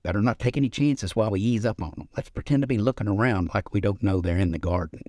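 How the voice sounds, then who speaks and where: A man's voice: lowish voice, quick pace, a little mellow, no room sound, lightly acted. Casting: male reader as elderly rural grandfather for a short story, crafty but forgetful, folksy dialect, dry recording. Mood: wary, feigning calm. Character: forgetful, sly old country grandfather.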